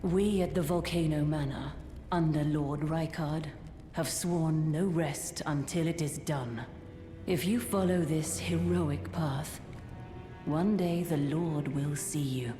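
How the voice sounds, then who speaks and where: A poised noblewoman in a dark fantasy game, low and very unhurried. She speaks formally and keeps her secrets, her words ringing through a roomy hall over music. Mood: solemn resolve. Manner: stately, measured, formal. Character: poised, secretive noblewoman, steward of a heretical manor.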